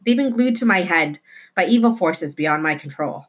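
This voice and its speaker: A woman's voice: fairly low pitch, expressive, normal speed. A woman voicing a precocious, earnest young boy with formal, grandiose wording in a storybook reading.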